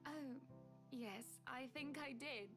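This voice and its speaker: A woman's voice: high-pitched, takes it slowly, fairly bright tone, faint music behind. A woman voicing an eager, effusive wealthy lady in a visual novel game, speaking politely. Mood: gushing. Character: gushing, eager wealthy society lady client.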